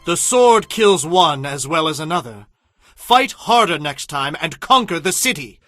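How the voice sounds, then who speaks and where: A man's voice: a little high, big pitch swings, measured pace, fairly bright tone, no room sound, studio quality, soft background music. An actor playing a commanding, decisive king in a dramatized audio Bible, with an imperious, emphatic delivery. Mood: steady, resolute. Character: commanding, decisive adult male biblical king.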